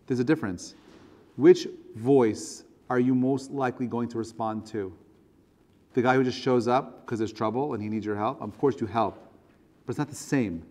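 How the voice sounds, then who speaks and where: A man's voice: medium pitch, moderate pace, warm tone. Earnest man teaching in a reverberant hall. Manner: earnest, rhetorical questions to listeners. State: voice strained, choking up. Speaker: rabbi teaching a class. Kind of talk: religious lecture, conversational.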